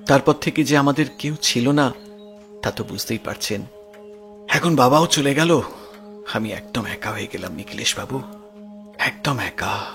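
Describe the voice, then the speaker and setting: An actor playing a grieving, lonely grown son in an audio detective drama, speaking earnestly and with emotion. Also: male voice, mid-range voice, lively intonation, unhurried, creaky, pauses often, a bit bright, faint music behind. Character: grieving, lonely grown son recounting his murdered parents' past.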